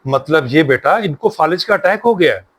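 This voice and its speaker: Man acting a lively, fatherly family doctor. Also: a little high, moderate pace. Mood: grave. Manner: mixes English words into speech. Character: reassuring fatherly family doctor, pious, earnest. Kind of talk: Urdu moral short-film acting.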